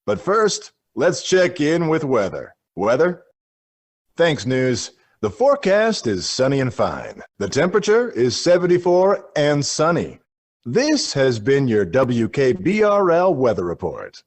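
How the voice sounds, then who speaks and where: A man's voice: medium pitch, heavily acted. An actor playing a stilted, absurdly formal male radio newsreader in a parody bulletin.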